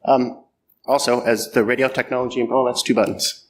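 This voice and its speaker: A man's voice: mid-range voice, little pitch movement, normal speed. Joking Canadian man giving a talk. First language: French or English, bilingual. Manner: self-deprecating, joking, many ums and uhs. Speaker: conference speaker. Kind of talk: conference talk. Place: conference hall.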